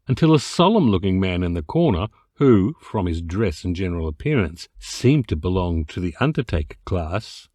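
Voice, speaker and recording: A man reading a comic literary story as an amateur narrator, theatrical and expressive with mock dignity. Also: fairly low pitch, no room sound. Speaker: amateur voice actor reading an audiobook excerpt.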